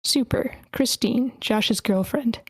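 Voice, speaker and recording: A woman's voice: on the high side, slow pace, breathy edge, no echo, low-quality recording. A woman hosting an online table read, casually organizing and reading screenplay stage directions aloud. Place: online meeting.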